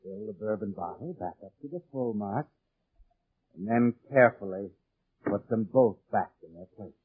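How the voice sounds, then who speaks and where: A man's voice: on the low side, moderate pace, slightly rough, dry recording. A man narrating a 1940s radio suspense drama in an ominous, insinuating way. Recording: old 1940s radio, narrow muffled band. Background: dramatic music.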